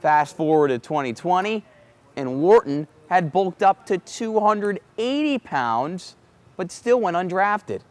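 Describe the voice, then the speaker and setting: A man's voice: high-pitched, very animated, unhurried. A man working as a sports anchor and reporter, with a playful, emphatic broadcast delivery. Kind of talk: sports broadcast narration and desk banter.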